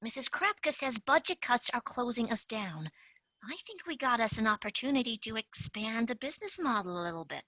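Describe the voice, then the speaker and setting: A woman's voice: fairly high pitch, very animated, fairly quick, bright, forceful tone, dry recording, heavily acted. A woman playing a brash, scheming female tooth fairy agent in a stage play. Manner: brash, wheeling-dealing.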